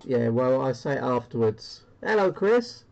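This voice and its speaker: A man's voice: medium pitch, big pitch swings, unhurried, gravelly, muffled recording, background noise. A man giving a casual, unscripted CB radio demo in a hesitant, rambling way. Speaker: CB radio hobbyist presenting a demo. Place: indoor radio room.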